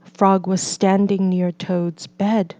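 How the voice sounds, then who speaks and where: Woman doing a kids read-aloud, super slow n deliberate, dry close sound, bit dull lo-fi. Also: mid-range voice, level tone, dark tone, no echo. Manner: measured, deliberate delivery for learners. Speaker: storybook reader. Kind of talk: slow scripted children's read-aloud narration.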